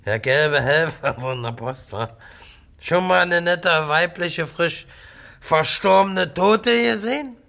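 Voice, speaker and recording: An actor in a radio play as a drunken, cranky, coarse tenant who rambles and jeers. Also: male voice, a little high, slow pace, gravelly, sharp tone, exaggerated voice. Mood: jeering, provoking. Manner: blunt, coarse, jeering, swinging between barking and muttering. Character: drunken, cranky, rambling jobless male tenant, newly widowed. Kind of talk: radio drama acting.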